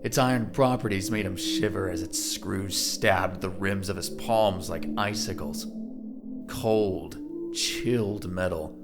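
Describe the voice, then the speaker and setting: A man's voice: low-pitched, slow pace, loud music behind the voice. A man narrating a scripted audio drama in a dramatic storytelling style.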